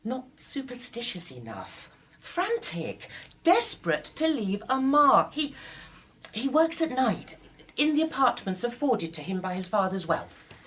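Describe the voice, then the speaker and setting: A woman playing a passionate, excitable young writer in a period radio drama, earnest and emphatic, performing on a live stage. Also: a little high, measured pace, a little hiss or hum, full character voice. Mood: intense, earnest.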